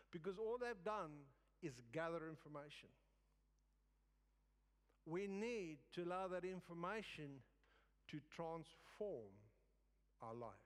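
A man giving a sermon as a guest preacher, in a halting way with phrases repeated for emphasis. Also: high voice. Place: church service in a large room. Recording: reverberant hall.

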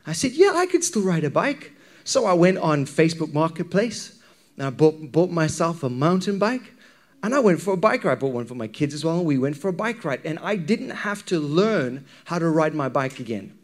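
A man's voice: fairly high pitch, big pitch swings, moderate pace. A man with a Zimbabwean accent preaching a sermon to a congregation in a conversational, questioning manner. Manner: conversational, engages listeners with questions and anecdotes.

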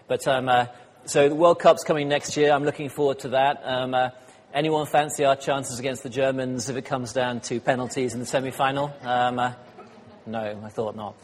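A slightly hoarse man gives a sermon as guest speaker, chatty and self-deprecating, with frequent ums and uhs. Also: medium pitch, steady tone, moderate pace, a little hiss or hum. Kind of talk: sermon with light humor. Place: church hall. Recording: church hall reverb.

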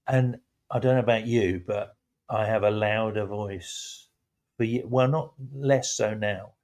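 A man hosting a relaxed faith-based chat, talking reflectively with gentle emphasis. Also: lowish voice, measured pace.

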